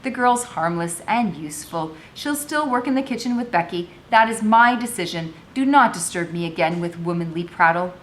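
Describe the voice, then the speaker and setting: A woman voicing an authoritative, dismissive gentleman, master of a colonial household, in a storybook read-aloud. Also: expressive, moderate pace, few pauses, lightly acted. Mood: firm, dismissive. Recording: noisy home recording with low rumble.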